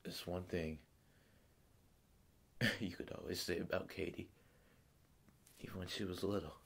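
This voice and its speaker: A teenage male performer playing a grieving, bitter ex-convict father in a halting monologue. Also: deep voice, steady tone, gravelly, husky, exaggerated voice. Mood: tender, sorrowful. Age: teenager playing older. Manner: halting, hushed delivery that strains into bursts.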